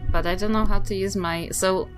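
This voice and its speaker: A woman's voice: mid-range voice, fairly even intonation, brisk, soft background music. A woman, chatting casually as a player in a tabletop game.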